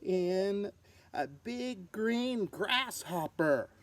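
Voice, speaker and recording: Slow, expressive woman reading a storybook. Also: medium pitch. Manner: expressive, dramatic delivery with sound words. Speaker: storybook reader. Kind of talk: children's story read-aloud narration.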